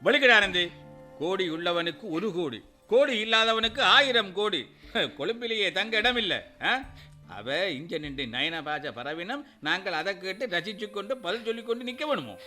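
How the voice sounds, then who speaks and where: An actor playing a proud, angry older uncle who lashes out with indignant rebukes, with a Sri Lankan Tamil accent. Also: male voice, high-pitched, lively intonation, normal speed, pushed and bright, soft background music, full character voice. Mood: scornful. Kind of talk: radio drama acting.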